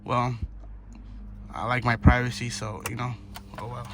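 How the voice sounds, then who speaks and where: A man's voice: medium pitch, little pitch movement, a bit bright. Man talking through a job over background noise. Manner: informal, halting, thinking aloud while working. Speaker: host of a DIY car installation video. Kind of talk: casual hands-on tutorial talk to viewers. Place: in or beside a car. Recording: clear background noise, vehicle ambience.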